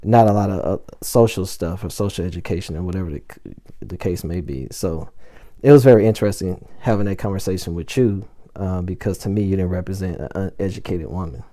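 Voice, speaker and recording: A man's voice: sits low, a little fast, mellow. A man in his forties in a casual couple chat, thoughtful and searching for his words as he goes. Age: 40s. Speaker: vlogger talking with his partner.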